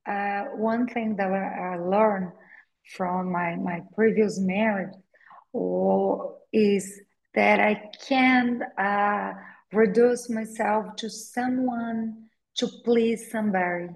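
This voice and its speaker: Slow-speaking Brazilian-accented woman. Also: medium pitch, fairly even intonation, gravelly, low-quality recording. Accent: Brazilian Portuguese. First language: Portuguese. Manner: hesitant, with frequent "uh" pauses and repeated words. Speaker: guest, attorney and novelist. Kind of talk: conversational interview.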